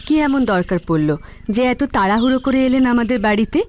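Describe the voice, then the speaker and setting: A woman's voice: fairly high pitch, animated, fast, darkish tone, a little hiss or hum, natural voice. A woman voicing a polite but skeptical, no-nonsense mother in a cartoon. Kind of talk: animated cartoon acting.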